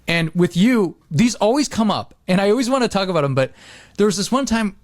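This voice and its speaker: A man chatting casually as a podcast co-host, telling stories in a rambling, halting way with many repeats. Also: high voice, animated, dry recording, studio quality. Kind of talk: casual chat, rambling anecdotes.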